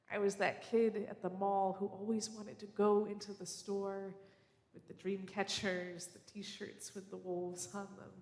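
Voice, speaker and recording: A preacher giving an earnest, measured and personal sermon in a church sanctuary, speaking as a guest. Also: female voice, medium pitch. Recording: echoey church hall.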